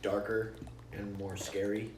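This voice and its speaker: A man's voice: lowish voice, flat delivery, a little slow, darkish tone. A man co-hosting a podcast, explaining things in a casual, enthusiastic, geeky and sweary ramble. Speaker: podcast co-host. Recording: roomy echo with faint noise.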